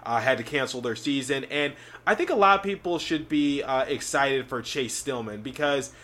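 A man's voice: mid-range voice, normal speed, bright, forceful tone. A man with a sore, slightly scratchy throat, hosting a sports podcast in a rambling, chatty way with many fillers. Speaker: podcast host. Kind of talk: casual sports podcast talk.

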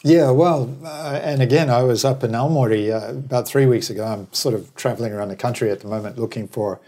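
A man's voice: medium pitch, a little fast, dark tone. A man from New Zealand who later lived in Australia, talking as an interview guest in a discursive, opinionated way with wry anecdotes. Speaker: interview guest, travel business operator. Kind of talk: conversational interview commentary.

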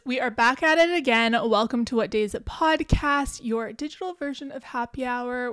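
A young adult woman co-hosting a podcast, chatting casually and gossiping with lots of fillers and quick asides. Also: fairly high pitch, fairly bright tone, no room sound, clean studio sound. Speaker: podcast co-host. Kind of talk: casual chat.

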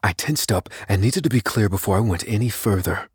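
Low-voiced, tense thriller narrator. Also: male voice, animated, a little fast, darkish tone, husky, dry recording, clean studio sound. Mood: tense, wary. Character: unassuming armed man hunting a killer, tense but steady. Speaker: narrator and lead character. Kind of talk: first-person audio drama narration.

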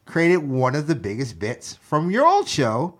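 A man's voice: fairly high pitch, huge pitch swings, a little slow. Eager man riffing with "like" fillers. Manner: enthusiastic, filler-heavy, says "like" a lot. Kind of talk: casual podcast chat.

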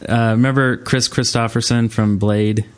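A man's voice: medium pitch, fairly even intonation, moderate pace, clean tone. A man in casual podcast chat as a host, with an easygoing, slangy way of talking. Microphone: Skype call.

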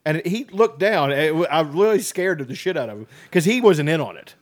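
A man's voice: on the high side, brisk. A man telling joking stories in casual podcast chat. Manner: joking storyteller, lively.

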